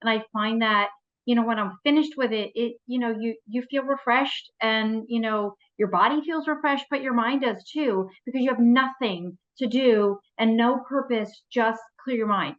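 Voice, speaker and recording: A woman's voice: a little high, moderate pace. An American woman from New Jersey sharing casually on an online call with dull, lo-fi sound. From New Jersey, United States. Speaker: community member sharing.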